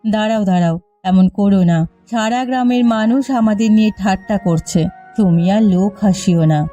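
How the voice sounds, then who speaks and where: A sensible village wife played by a woman in a cartoon moral story, reproachful and pleading. Her voice is mid-pitched and mellow, over faint music. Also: moderate pace, dull audio, natural voice. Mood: scolding. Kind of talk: Bengali cartoon story voice acting.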